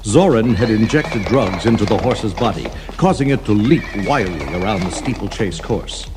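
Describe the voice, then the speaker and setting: A man's voice: on the low side, lively intonation, normal speed, background noise. A man narrating a scripted children's adventure story as the storybook's reader. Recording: 1980s storybook cassette with music underneath. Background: background music.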